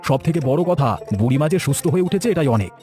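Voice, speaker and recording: A man's voice: a little high, animated, rapid-fire, warm tone, soft background music, close to an everyday voice. A man voicing a helpful, frank village man in a cartoon story, giving reassurance and preachy advice. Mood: cheerful. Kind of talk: Bengali cartoon story voice acting.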